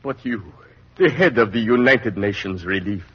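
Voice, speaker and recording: A man's voice: fairly low pitch, lively intonation, normal speed, slightly rough. An actor playing a desperate, defiant father in a 1940s radio drama, pleading with intense earnestness. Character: desperate, defiant young Greek father of a starving child. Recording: muffled vintage radio recording.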